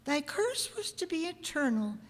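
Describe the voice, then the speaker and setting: A woman's voice: extremely high pitch, big pitch swings, normal speed. A woman playing a stern messenger of God in a radio drama, giving grand, solemn, archaic pronouncements. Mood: grave. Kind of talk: old-time radio drama acting. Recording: echoey hall with long reverb.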